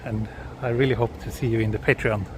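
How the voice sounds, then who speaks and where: A man from Sweden, speaking English as a second language, hosts a relaxed live walking stream outdoors and chats with viewers as he goes. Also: on the low side, fast, noisy background. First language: Swedish. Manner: relaxed, answers viewer chat while walking. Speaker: vlogger walking through a city. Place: outdoors on city streets.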